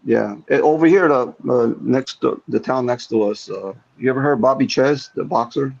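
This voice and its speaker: A gravelly-voiced man from New Jersey who spent part of his youth near Naples, talking in a loose, rambling way and swearing freely. The audio sounds dull and lo-fi. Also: mid-range voice, normal speed, a little mellow. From New Jersey, raised partly near Naples, Italy. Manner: rambling, restarts, swears casually. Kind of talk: casual podcast chat.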